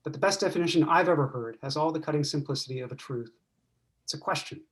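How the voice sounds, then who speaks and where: A man's voice: on the high side, quick pace. A man giving prepared, reflective opening remarks as host of a panel discussion. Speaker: event host.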